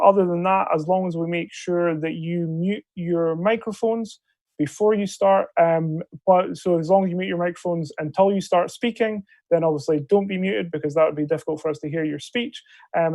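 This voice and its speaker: A man with a fairly high voice that keeps breaking into a gravelly fry, chairing an online debate. He hesitates politely between phrases, and the sound is dry, from a video call mic. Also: darkish tone, no echo. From Scotland. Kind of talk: procedural briefing on a video call. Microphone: video call microphone.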